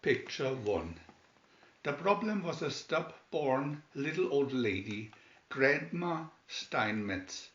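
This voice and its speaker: A man's voice: mid-range voice, fairly bright tone. A man reading a children's booklet aloud as scripted story narration. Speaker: booklet reader. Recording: dull, roomy home recording.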